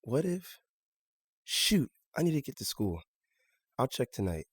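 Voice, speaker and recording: A man's voice: mid-range voice, big pitch swings, a little slow, husky, no room sound, studio quality. A man playing an earnest, stubborn seventeen-year-old son in a naturalistic audio drama, polite but insistent. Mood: frustrated, muttering.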